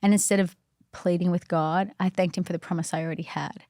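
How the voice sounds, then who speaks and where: A woman with a fairly low voice shares personal faith stories as a podcast guest. She is chatty and earnest and often fills her sentences with "like" and "you know". The sound is dry and close, with no room echo. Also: fairly even intonation, fast talker, warm tone. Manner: earnest and reflective. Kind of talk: casual faith storytelling.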